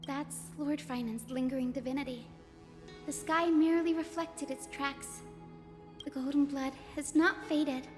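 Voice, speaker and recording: Brief: gentle poetic young heroine, high wistful voice, fantasy game, heavy reverb over music. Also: female voice, steady tone, measured pace. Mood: tender. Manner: lyrical, storytelling. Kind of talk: dramatic fantasy game dialogue.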